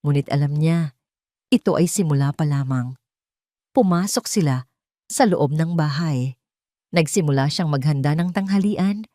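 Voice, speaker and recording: A woman narrates a scripted romance drama as a storyteller. Her voice is slightly low, and the recording is dry with very little room sound. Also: moderate pace, long pauses, warm tone, no room sound.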